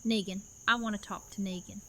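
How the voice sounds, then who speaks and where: A woman's voice: mid-range voice, fairly even intonation, a little fast, background noise, lightly acted. A woman playing a firm, determined widow leader. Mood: resolute. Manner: firm, resolute.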